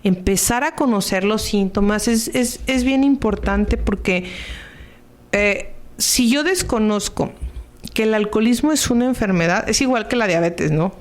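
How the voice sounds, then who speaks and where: A woman's voice: medium pitch, a little slow. Woman explaining in a conversational, didactic way. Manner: didactic, asks and answers own rhetorical questions. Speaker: Al-Anon member giving an informational talk. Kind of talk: explanatory radio talk, conversational.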